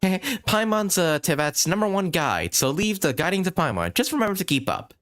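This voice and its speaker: A man's voice: high-pitched, sing-song, moderate pace, fairly bright tone, pitched above the performer's own voice. A man reading game dialogue aloud as a boastful, eager little female fairy tour guide. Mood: boastful, cheery. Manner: eager, self-important, talks in third person.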